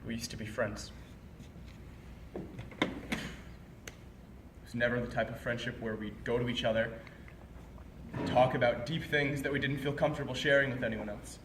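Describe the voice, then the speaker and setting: A young man on stage playing a bitter, reproachful college student who confronts a former friend in an accusatory monologue with deliberate pauses. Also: mid-range voice, expressive, close to an everyday voice. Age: young adult. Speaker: stage actor. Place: indoor performance space, picked up from far off. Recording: roomy, distant live stage recording, faint noise.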